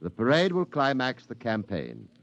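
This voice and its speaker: An actor playing a secretive, smoothly formal client in a 1950s radio drama, speaking in a measured, cryptic way. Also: male voice, medium pitch, huge pitch swings. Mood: suave, guarded. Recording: dull, dry old radio recording.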